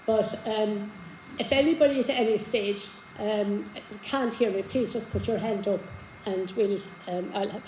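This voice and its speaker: A hoarse woman giving an informal genealogy talk to a live audience, chatty, with many fillers and restarts. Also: mid-range voice, a little slow, warm tone, roomy sound, slight background noise, rough recording. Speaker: genealogy speaker. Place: community hall with an audience. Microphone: handheld microphone.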